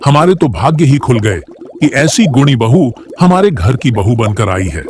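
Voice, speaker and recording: Older indian sasur in a cartoon, super animated, emphatic, bit of background noise. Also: male voice, mid-range voice, unhurried. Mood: delighted. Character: effusive, scheming older Indian father-in-law. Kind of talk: Hindi moral-story cartoon acting.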